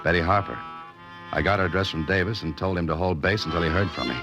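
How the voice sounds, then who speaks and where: Tough 1940s radio sleuth: bass-deep, hard-boiled narration over music. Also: male voice, quick pace. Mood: brooding, wry. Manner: clipped, sardonic, hard-boiled. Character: tough, wry male amateur sleuth narrating his case. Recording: old radio recording with music.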